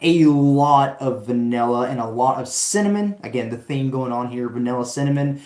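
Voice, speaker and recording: A man's voice: medium pitch, normal speed, darkish tone, echoey room. A man hosting a live stream, chatting with viewers in an enthusiastic, rambling way, his voice worn from talking. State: voice going out from long talking. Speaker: fragrance live stream host. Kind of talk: casual live stream chat reading comments.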